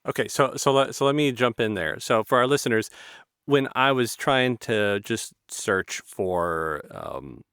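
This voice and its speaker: A man's voice: medium pitch, expressive, measured pace, fairly bright tone, clean tone, no echo, studio quality. A man hosting an interview in a casual, conversational style. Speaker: interview host.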